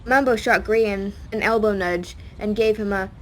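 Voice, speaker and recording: A woman's voice: medium pitch, moderate pace, a little hiss or hum, low-quality recording. A woman reading a scripted audiobook story as a dramatic narrator.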